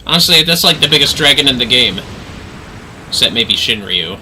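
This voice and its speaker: A man's voice: medium pitch, expressive, normal speed, bright, forceful tone, a little hiss or hum, rough recording. A man livestreaming a video game, giving casual running commentary as he plays.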